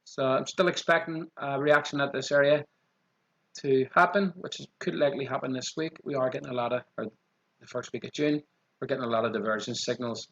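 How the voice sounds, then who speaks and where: Fast-talking Irish man. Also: mid-range voice, fairly even intonation, a bit bright, dull audio. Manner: quick, repeats 'okay' as a filler. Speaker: trading channel host. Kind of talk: screen-share chart walkthrough.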